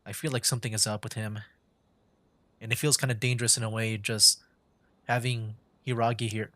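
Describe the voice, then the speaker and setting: A man's voice: lowish voice, flat delivery, brisk, sharp tone, dry recording. A man giving casual livestream game commentary, musing aloud in an informal way. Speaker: gaming streamer.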